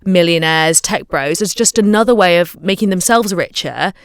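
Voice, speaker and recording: A woman's voice: on the low side, animated, normal speed, clear, resonant tone, dry recording, studio quality. A woman co-hosting a podcast who asks articulate questions in casual conversation. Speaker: podcast co-host.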